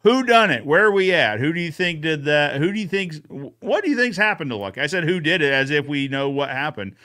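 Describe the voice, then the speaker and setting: A man's voice: fairly high pitch, very animated, fairly quick, pushed and bright, smooth. A man hosting a podcast recap in a casual, folksy, conversational way. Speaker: host of a podcast recap show.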